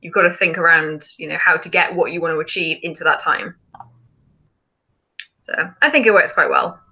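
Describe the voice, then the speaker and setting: A woman's voice: deep voice, normal speed, sharp tone, highs cut off. A woman hosting a casual live stream, chatting and explaining things in an informal way. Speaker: film challenge live stream host.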